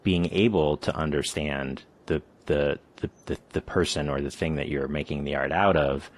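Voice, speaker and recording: Very deep-voiced male interviewer. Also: fairly even intonation. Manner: thoughtful, measured, frequent "mm-hmm". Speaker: podcast host.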